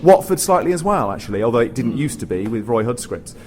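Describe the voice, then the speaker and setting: A man's voice: mid-range voice, very animated, fast, darkish tone. A British man, a pantomime writer on a panel, chatting informally and hesitantly, often correcting himself as he goes. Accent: British English. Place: theatre, live panel after a show. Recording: live theatre recording with background noise.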